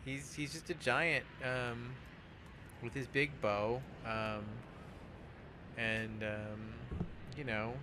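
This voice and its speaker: A man hosts a casual let's play in a mid-pitched voice. He explains in a halting way, often doubling back on his words. Clear noise and game ambience sit behind him. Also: fairly even intonation. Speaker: let's play podcast host.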